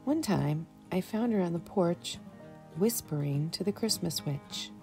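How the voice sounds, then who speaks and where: A middle-aged woman giving a gentle, reflective scripted voice-over in a storytelling style. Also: fairly low pitch, animated, measured pace, faint music behind. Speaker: vlog narrator.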